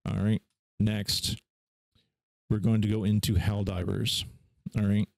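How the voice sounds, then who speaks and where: A man with a fairly low, noticeably gravelly voice walks through software as a tutorial host. His delivery is informal and offhand, like showing a friend around a screen. The sound is close and very dry. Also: level tone, takes it slowly, dry recording.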